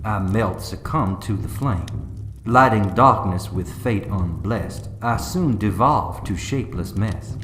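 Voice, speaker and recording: An actor playing a cautious, clever preacher on an adventure in a radio drama, speaking with careful, dryly exasperated patience. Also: male voice, lowish voice, takes it slowly, a bit bright. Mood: careful, thoughtful. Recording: roomy echo, music underneath. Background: music.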